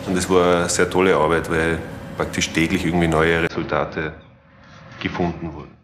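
A man's voice: low voice, level tone, moderate pace, fairly bright tone, a little hiss or hum. A man giving an unscripted interview as a forensic expert. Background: background music.

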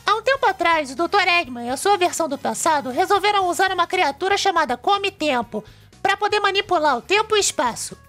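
A woman's voice: very high voice, lively intonation, quick pace, fairly bright tone, faint music behind. A woman voicing a cheerful, brainy young male fox who presents a cartoon web show, eagerly explaining things with a Brazilian accent. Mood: explanatory.

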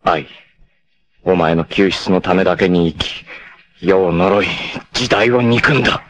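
An actor playing a stern, loyal young martial artist in a 1980s anime, with a smooth, clipped voice that bursts into ferocious battle cries. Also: male voice, low voice, measured pace. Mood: grave. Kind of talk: 1980s anime dub-free Japanese voice acting.

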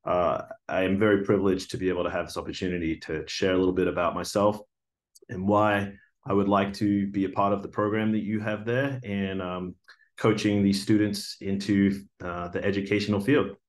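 Australian man with a low voice, earnest and halting. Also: little pitch movement. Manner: earnest, many "uh" fillers and restarts. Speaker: job applicant, teacher. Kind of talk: video job application talk. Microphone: webcam video recording.

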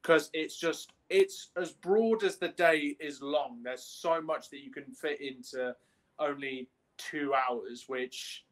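A man's voice: fairly high pitch, slow pace, fairly bright tone. A young man co-hosting a casual podcast, explaining things in detail like an enthusiastic fan. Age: young adult. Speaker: podcast co-host. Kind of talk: casual discussion of games and films. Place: small room at home, on a video call.

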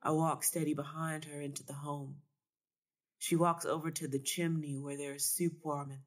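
A woman's voice: deep voice, level tone, normal speed. A woman reading first-person literary fiction as a dramatic narration. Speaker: story reader.

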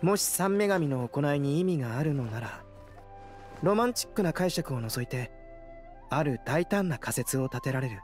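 An actor playing a calm young male alchemist who explains things in a gentle, measured way. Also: a little high, soft background music, natural voice. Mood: analytical. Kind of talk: video game voice acting. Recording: game cutscene audio with soundtrack under it.

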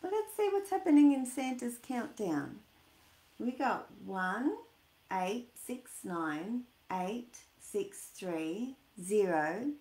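Slow-talking older woman storyteller, roomy sound. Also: medium pitch. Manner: slow, sing-song, questioning, as to small children. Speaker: storyteller for children. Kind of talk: read-aloud and chat addressed to young children. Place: small indoor room at home. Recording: roomy, echoey home webcam sound.

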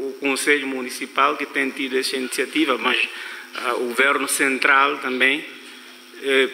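Male panel speaker, Mozambican accent. Hesitant, very slow, piercing tone in a reverberant room. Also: medium pitch, pushed and bright. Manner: hesitant, halting. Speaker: guest writer on a literary panel. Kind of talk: panel discussion, extempore opinion. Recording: reverberant hall-like sound.

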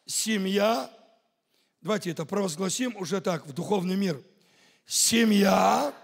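Brief: man, pastor, slow emphatic sermon, husky high voice, big church hall reverb. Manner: slow, emphatic proclaiming, leading the crowd in chanted lines. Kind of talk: sermon with congregational declarations. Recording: echoey church hall livestream.

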